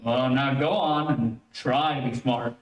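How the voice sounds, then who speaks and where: A man's voice: mid-range voice, fairly even intonation, a little slow, lo-fi sound, natural voice. A man playing an exasperated, scolding father in a stern, fussy golden-age radio comedy style. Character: exasperated scolding father of a naughty little girl. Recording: echoey room, distant mic, faint noise.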